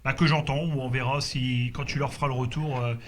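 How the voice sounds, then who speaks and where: A man's voice: medium pitch, fairly even intonation, a little fast, a little hiss or hum. A man chatting casually as a radio operator during a group contact, speaking hesitantly and repeating his confirmations.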